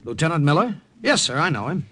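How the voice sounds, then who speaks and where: A man's voice: on the high side, big pitch swings, fast, pushed and bright, full character voice. A male actor playing a short, cocky army sergeant in 1940s radio drama, telling his story in a wry, chatty way. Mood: dutiful. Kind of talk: 1940s radio acting, first-person narration and dialogue. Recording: old-time radio broadcast with music behind.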